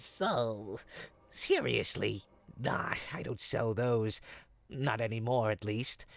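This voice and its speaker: A performer voicing a sly, smooth-talking goblin potion peddler in an audio roleplay, with folksy, teasing salesman patter. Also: medium pitch, no echo, full character voice. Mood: chatty, grumbling. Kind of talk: audio roleplay, in-character monologue to listener.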